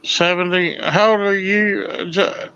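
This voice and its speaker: A man's voice: high-pitched, steady tone, a little slow, bright, forceful tone, clear, resonant tone, muffled recording. A man in his forties from Kentucky, chatting casually as a guest on a video call and asking lots of questions. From Kentucky, USA. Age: 40s. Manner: loose and chatty, asks questions. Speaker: livestream guest. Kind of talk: casual chat.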